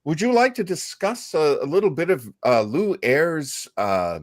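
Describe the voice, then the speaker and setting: A middle-aged or older American man casually hosting a discussion, measured and halting, often pausing mid-sentence. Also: on the high side, big pitch swings, no echo, clean studio sound. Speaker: host of an old-time radio discussion show.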